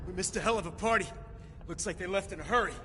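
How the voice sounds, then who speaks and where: A man's voice: sits high, quick pace, over music, lightly acted. A man playing a folksy American commando in a war game, casual and wisecracking. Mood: hushed, wry. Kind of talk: cinematic video game acting. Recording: long hall-like reverb.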